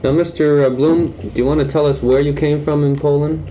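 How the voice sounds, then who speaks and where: A man interviewing an elderly guest, prompting him gently with short questions and fillers. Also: fairly high pitch, fairly even intonation, normal speed, slight background noise. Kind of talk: oral history interview. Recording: dull lo-fi recording.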